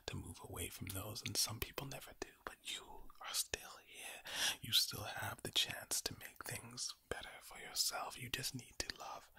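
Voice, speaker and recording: Whispering man, low and gravelly. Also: pushed and bright. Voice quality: low, breathy, villain-like voice. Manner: deliberate, soothing, repeats words for effect. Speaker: ASMR host. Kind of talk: ASMR, whispered slow affirmations.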